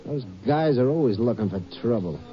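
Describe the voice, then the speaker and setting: A man's voice: medium pitch, lively intonation, brisk, natural voice. A man playing a cocky small-time gangster. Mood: swaggering. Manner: slangy, cocky, threatening. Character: tough small-time male gangster on the run. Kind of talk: 1950s radio acting. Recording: lo-fi old radio broadcast with music.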